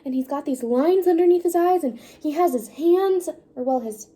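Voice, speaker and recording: A woman's voice: very high voice, moderate pace, mellow. A woman presenting a picture book read-aloud for children, informally explaining and putting questions to her listeners.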